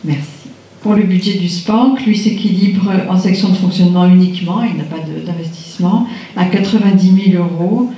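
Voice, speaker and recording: A man's voice: high voice, fairly even intonation, moderate pace, mellow. A man presenting a budget at a council meeting, plainly reading figures with some hesitations. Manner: matter-of-fact, reads figures with hesitations. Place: large municipal function hall. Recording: echoey hall, mic far off.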